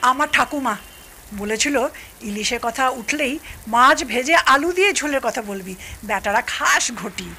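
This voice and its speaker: A woman playing a chatty middle-aged mother-in-law, telling anecdotes in a lively way in an audio drama. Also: a little high, a little slow, a little hiss or hum, exaggerated voice. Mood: animated recounting. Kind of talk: Bengali audio drama acting.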